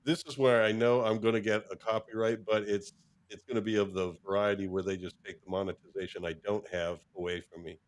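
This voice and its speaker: A man's voice: lowish voice, little pitch movement, brisk, a little hiss or hum. A man hosting a casual talk show, chatting in a rambling way as he thinks aloud. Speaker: host of a fan fiction show. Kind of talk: casual chat.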